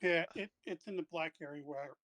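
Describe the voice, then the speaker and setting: Man, slightly high voice, casual chat, tabletop game player. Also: fairly quick, fairly bright tone, no echo.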